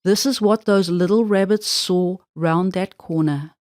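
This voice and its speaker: A woman's voice: lowish voice, unhurried, darkish tone, no room sound, clean studio sound. A woman narrating a children's storybook expressively, drawing out words for emphasis.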